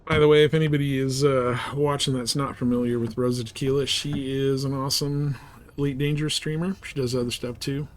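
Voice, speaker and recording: A man's voice: medium pitch, steady tone, brisk. A man livestreaming a game, chatting casually and thinking aloud in a rambling way. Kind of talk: casual gameplay stream chat.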